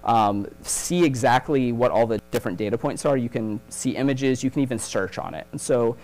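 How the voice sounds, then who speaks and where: A man's voice: mid-range voice, normal speed, darkish tone, soft background music, low-quality recording. A man giving a keynote talk at a conference, explaining ideas step by step and leaning often on "um" and "uh" fillers. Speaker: keynote speaker. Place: conference stage.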